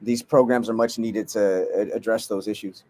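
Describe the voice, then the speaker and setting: A man's voice: fairly low pitch, fairly even intonation, moderate pace, a little mellow. A man guesting on a casual podcast, an earnest youth mentor who speaks plainly with slang and laughs easily. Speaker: guest, youth mentor and hip hop artist. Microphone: remote call mic.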